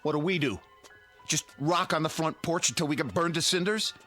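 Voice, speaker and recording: A man's voice: fairly high pitch, brisk, bright, forceful tone, faint music behind. An actor playing a desperate suburban father in a radio drama, pleading and stammering. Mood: desperate anger.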